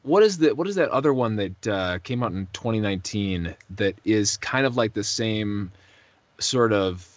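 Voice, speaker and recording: A man's voice: fairly low pitch, animated, normal speed, no echo. Man chatting casually, dry close sound. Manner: rambling, self-deprecating, hesitant while searching for words. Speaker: voice actor being interviewed. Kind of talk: casual interview chat.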